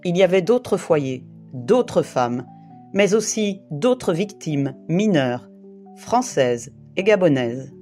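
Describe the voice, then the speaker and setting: A woman from the Paris region, in her late fifties or older, gives a prepared first-person testimony in a grave, deliberate way, piling up short phrases one after another. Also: fairly low pitch, sing-song, mellow, soft background music. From Île-de-France, France. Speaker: interviewee giving testimony.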